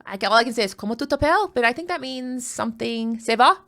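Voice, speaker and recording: A woman's voice: a little high, expressive, a little fast, fairly bright tone. A woman from the United States giving casual, playful live commentary on a card tournament, chatting and rambling into asides.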